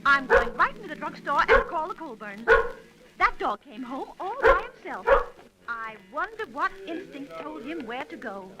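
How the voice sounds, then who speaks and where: Excitable high-voiced kindly woman. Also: normal speed, over loud music. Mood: astonished, tender. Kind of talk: 1930s radio drama acting. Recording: noisy 1930s radio recording.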